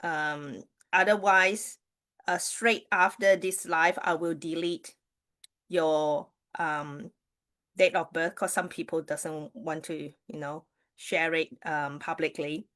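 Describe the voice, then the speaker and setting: Slow, low-voiced Malaysian woman hosting a livestream. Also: steady tone, fairly bright tone. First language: Mandarin Chinese. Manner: halting, many fillers and restarts. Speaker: livestream host, Chinese astrology reader. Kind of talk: casual livestream talk to viewers.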